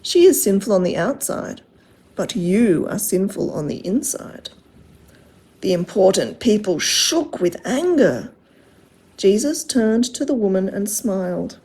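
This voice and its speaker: Female children's storyteller, unhurried, soft-edged mellow tone with some huskiness, expressive read-aloud delivery. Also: mid-range voice, breathy edge. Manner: expressive, simple phrasing addressed to children.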